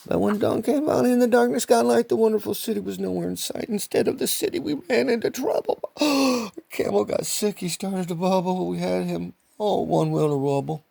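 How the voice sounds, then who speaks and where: Gravelly older man reading a storybook. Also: high voice, normal speed, few pauses, mellow, no room sound. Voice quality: gravelly, husky. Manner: rambling, repeats phrases, ad-libs. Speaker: grandfather reading to his grandson. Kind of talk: animated improvised storybook reading.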